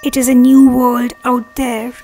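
High, even-toned woman guiding meditation. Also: a little slow. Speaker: meditation guide. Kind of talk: guided meditation affirmations. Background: meditation music.

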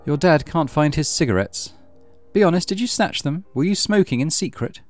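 A man voicing a stern, weary mother with a sharp, exasperated, accusing manner in a visual novel. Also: a little high, lively intonation, normal speed, no room sound, faint music behind, pitched above the performer's own voice, lightly acted. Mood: accusing, hurt.

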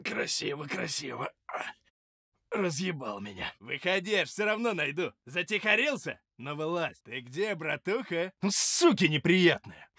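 An actor playing a cocky, foul-mouthed Russian gangster fighter in a video game, taunting with mocking street-slang swagger. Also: male voice, a little high, very animated, measured pace, bright, forceful tone, no room sound, clean studio sound, heavily acted. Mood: muttering, sardonic. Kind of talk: video game combat voice lines.

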